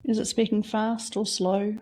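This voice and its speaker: Slow, gentle woman guiding a reflection. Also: medium pitch, level tone. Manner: slow, soft-spoken questions with long pauses. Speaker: meditation guide. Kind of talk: guided reflection exercise.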